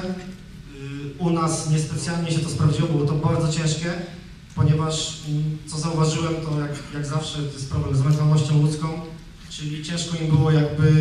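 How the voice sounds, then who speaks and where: A man in his thirties gives a conference talk from a stage, his voice sitting slightly high but staying flat in pitch. He speaks loosely, restarting phrases and filling pauses as he goes. The sound is distant and very echoey, with a faint hum of the hall behind him. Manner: conversational, with frequent fillers and restarts. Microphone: handheld microphone.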